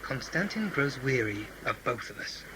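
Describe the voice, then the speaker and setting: A man's voice: medium pitch, very animated, normal speed, lightly acted. Actor as scheming male conspirator, noisy recording. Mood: scheming, urgent. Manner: intense, formal, persuasive. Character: scheming ambitious conspirator, cold and persuasive. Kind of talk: sci-fi audio drama acting. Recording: noisy amateur audio play recording.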